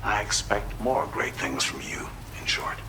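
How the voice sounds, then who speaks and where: A man playing a composed, commanding official in a video game, speaking formally and in a measured way. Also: on the low side, sing-song, whispered. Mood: approving, solemn. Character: composed, commanding male official giving orders and praise.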